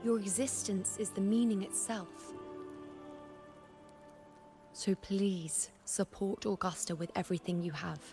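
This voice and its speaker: A woman's voice: mid-range voice, steady tone, measured pace, pauses often, natural voice. An actress voicing a bold, fiercely encouraging young woman in a dramatic video game, speaking in an earnest, emphatic, commanding way. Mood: solemn, earnest. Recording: background music under the voice.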